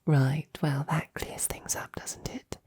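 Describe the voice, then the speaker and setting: A woman playing a devoted, courteous female knight in an intimate romantic audio roleplay, speaking softly and breathily with gentle, halting, formal phrasing. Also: deep voice, flat delivery, in a whisper, exaggerated voice. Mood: nervous, probing. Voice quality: breathy, soft. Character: devoted female royal knight, tender, courteous, easily flustered.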